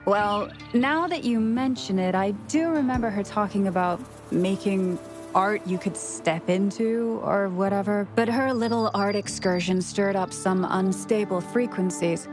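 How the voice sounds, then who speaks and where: A woman's voice: medium pitch, measured pace, music in the background, natural voice. Blunt, offhand female rogue played by an actress. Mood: dismissive. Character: blunt, shifty female rogue posing as an exile. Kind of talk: anime game voice acting.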